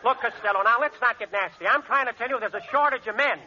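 A man doing a double-talking radio manager. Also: very high-pitched. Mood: indignant. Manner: confident rapid double-talk. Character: fast-talking male manager spouting double-talk gibberish. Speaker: hotel manager. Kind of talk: 1940s radio comedy acting. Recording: hissy lo-fi old radio recording.

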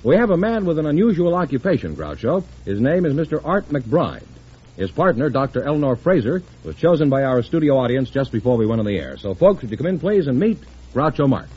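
A man's voice: fairly high pitch, very animated, fairly quick, slightly rough. A man announcing an old-time radio quiz show, presenting scores and contestants in a formal broadcast style. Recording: muffled, faintly noisy vintage radio broadcast.

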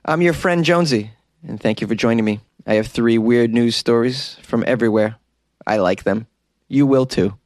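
A man's voice: mid-range voice, no room sound, clean studio sound. A man who grew up in Boston, hosting as a comedian and joking through odd news stories with wry asides and laughs. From Boston, United States. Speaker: comedian and host.

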